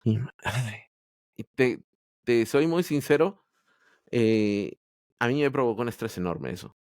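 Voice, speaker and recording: A man's voice: medium pitch, animated, measured pace, a little mellow, clear, resonant tone, no echo, clean studio sound. A man from Peru, telling his story as a podcast guest in a candid, hesitant way full of restarts. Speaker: podcast guest, Android developer. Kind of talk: casual interview storytelling.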